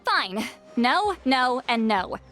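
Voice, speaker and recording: A prickly, ageless female shaman from a game, high and very animated. She is curt, scolding and haughty, with a sarcastic edge over faint music. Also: takes it slowly, full character voice. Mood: sarcastic, irritated. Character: haughty, prickly centuries-old female shaman, youthful-sounding, grudging. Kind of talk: video game voice acting.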